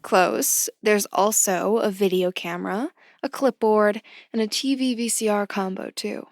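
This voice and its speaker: A woman's voice: medium pitch, fairly even intonation, a little slow, no echo, natural voice. An actress playing a determined, inquisitive woman in an audio drama, reasoning insistently. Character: determined, inquisitive woman stubbornly after answers.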